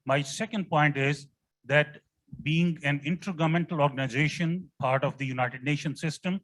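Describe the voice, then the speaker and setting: A man's voice: on the high side, normal speed, a bit bright. A man with a Pakistani accent speaking from the floor as a diplomat, earnest, courteous and formal. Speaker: audience questioner, diplomat.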